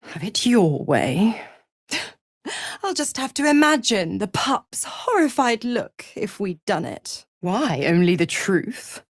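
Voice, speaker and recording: An actress voicing a seductive, gloating devil patron in a video game, with a silky, condescending, theatrical manner of cruel teasing. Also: female voice, mid-range voice, big pitch swings, takes it slowly, dry recording, clean studio sound, exaggerated voice. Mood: smug. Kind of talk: video game character voice acting.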